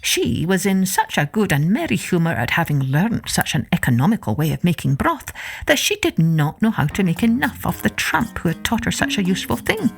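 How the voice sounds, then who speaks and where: A woman tells a folktale to young children in a slightly low voice. Her intonation rises and falls expressively, and music plays clearly behind her. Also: very animated, brisk. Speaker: storyteller, podcast host.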